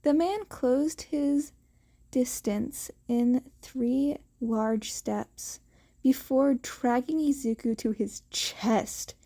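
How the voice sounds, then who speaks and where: A woman narrates fiction in a fairly high, mellow voice. Her reading is unhurried and a little halting, like an amateur audiobook. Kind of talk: scripted story narration.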